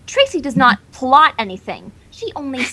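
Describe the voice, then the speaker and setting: A woman's voice: high voice, very animated, normal speed, bright, forceful tone, exaggerated voice, low-quality recording. A woman voicing a boastful, scheming female cartoon pony magician who haughtily speaks of herself in the third person. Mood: haughty, demanding. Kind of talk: fan fiction character reading.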